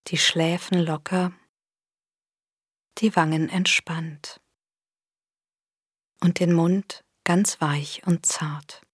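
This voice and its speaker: A woman leads a guided body scan in a soft, breathy voice. Her delivery is very slow, gentle and soothing, with a slightly low pitch. The recording is close and dry. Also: steady tone, no room sound. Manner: gentle, soothing, unhurried instruction with long pauses. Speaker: meditation guide. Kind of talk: guided body scan meditation, scripted narration.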